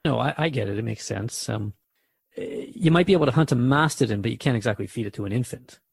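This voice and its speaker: A man's voice: medium pitch, lively intonation, fast, vocal fry, slightly rough, no room sound, clean studio sound. A man hosting a radio drama dialogue, asking questions and musing aloud in a hesitant, conversational way. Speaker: radio host in a scripted dialogue.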